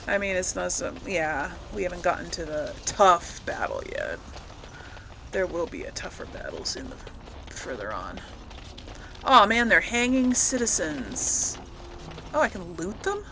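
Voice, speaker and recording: A woman's voice: on the low side, gravelly. A woman casually commentating on her video game playthrough, chatting and thinking aloud as she plays. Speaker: gamer narrating a playthrough. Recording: loud game noise behind voice. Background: video game battle sounds.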